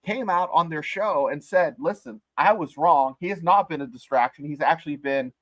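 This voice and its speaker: A man co-hosting a sports podcast, speaking in a measured, level-headed way and reading out news items. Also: fairly high pitch, no echo. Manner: measured, reasonable, reads out news. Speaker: podcast co-host. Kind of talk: casual sports podcast chat.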